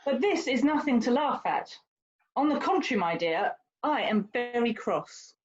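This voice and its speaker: A dull, slightly lo-fi recording of a woman acting a haughty young lady in a period stage drama. Her voice sits fairly high and swoops about as she lectures like a worldly, condescending older sister, stressing her points theatrically. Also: animated, normal speed, close to an everyday voice. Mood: insistent.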